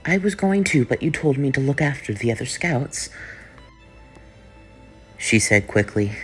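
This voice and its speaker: Slow, low-voiced man reading a story. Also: a bit bright, low-quality recording. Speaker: fan fiction reader. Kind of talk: reading a fan story aloud.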